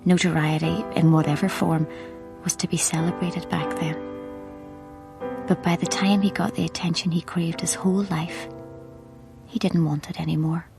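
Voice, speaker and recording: A woman's voice: on the low side, level tone, normal speed, gravelly, pauses often, music in the background. An actress playing a grieving, guarded Irish woman journalist in a radio drama. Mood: wistful. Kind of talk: radio drama narration and dialogue.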